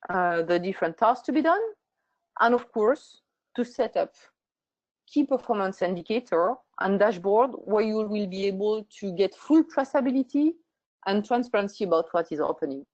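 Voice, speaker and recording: A woman hosting a webinar, reading a measured presentation. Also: mid-range voice, expressive, darkish tone. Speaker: webinar host. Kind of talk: scripted presentation. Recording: muffled lo-fi webinar audio.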